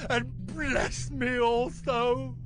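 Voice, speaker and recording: Distraught ancient king, high voice, slow delivery, imperious, anguished, scripture drama. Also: level tone, over music, exaggerated voice. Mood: anguished, commanding.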